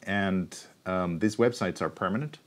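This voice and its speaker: A man in his forties answering interview questions in a studio, thoughtful and explanatory, with frequent pauses and restarts, recorded on a lapel mic. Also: sits low. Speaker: university researcher, keynote guest. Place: TV studio set.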